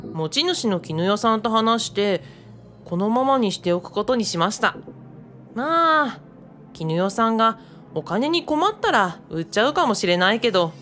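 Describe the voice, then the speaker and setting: Young female assistant for radio drama woman acting: courteous, modest, level-headed, with lively melodic delivery. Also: on the high side, normal speed, soft background music. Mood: friendly, animated. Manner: courteous, animated.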